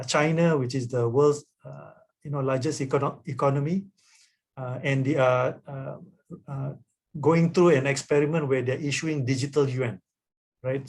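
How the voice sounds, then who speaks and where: Man, guest author, webinar answer, explanatory, tags "right?", mid pitch. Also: a little mellow. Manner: explanatory, often adds "right?". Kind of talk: webinar talk answering questions.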